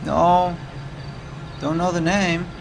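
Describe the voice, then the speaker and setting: An actor playing a blunt, coarse working-class suspect, defensive and bitter, in a crime drama video game. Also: male voice, high-pitched, little pitch movement, takes it slowly, gravelly, noisy background, full character voice. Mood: defiant.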